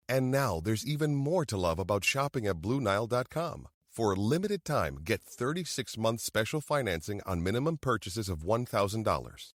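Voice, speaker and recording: Man, advertisement announcer, scripted read, animated intonation, dry close sound. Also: lowish voice, dry recording.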